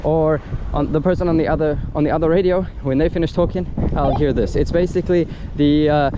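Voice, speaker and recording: A man's voice: a little high, steady tone, a little fast. A man giving a casual hands-on product demonstration, explaining things step by step as he goes, recorded outdoors. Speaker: gadget reviewer. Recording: loud wind and outdoor ambient noise.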